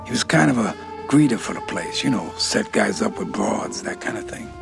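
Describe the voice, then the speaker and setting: A man's voice: lowish voice, animated, moderate pace, creaky, over music. An actor playing a weary, streetwise aging male gangster, heard as a film clip. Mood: weary, brooding. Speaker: film character in interview sketch. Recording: film clip with loud score.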